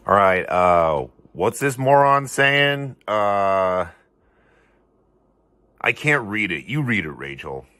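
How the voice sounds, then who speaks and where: Very deep gruff sidekick, slow, lively pitch, dry sound. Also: male voice, dry recording, full character voice. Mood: grumpy. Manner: rough, gruff and dismissive. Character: grumpy gruff male sidekick, dismissive.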